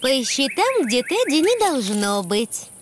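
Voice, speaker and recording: A woman playing a gentle, patient mother donkey in a preschool cartoon dub, soothing and practical. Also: sits high, very animated, slow, noisy background, heavily acted.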